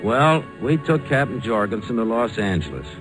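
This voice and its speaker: A man with an American accent acting a determined young airline founder in a 1940s radio drama, with brisk, insistent, colloquial delivery. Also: on the low side, sing-song, over loud music, rough recording. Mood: reflective narration. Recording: vintage 1940s radio broadcast.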